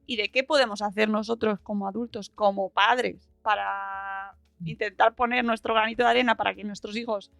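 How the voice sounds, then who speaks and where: Woman podcast host, bit of vocal fry, casual and reflective, dry sound. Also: mid-range voice, fairly even intonation, moderate pace, fairly bright tone, no echo, faint music behind. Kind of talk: casual conversational interview.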